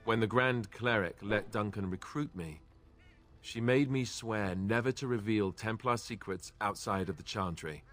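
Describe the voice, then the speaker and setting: An actor playing an earnest young warrior, reluctant and unsure, in a fantasy video game. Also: male voice, lowish voice, unhurried, a bit bright.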